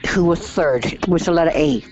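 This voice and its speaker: A woman contestant speaking slowly while searching for a name. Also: fairly low pitch, darkish tone, dull audio, soft background music. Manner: slow, searching for words.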